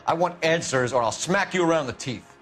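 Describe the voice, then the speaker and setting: An actor playing a stern, hot-tempered police detective in a video game, with a clipped, interrogating manner. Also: male voice, fairly high pitch, expressive, fairly quick, fairly bright tone, faint music behind. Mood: threatening. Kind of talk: video game cutscene acting.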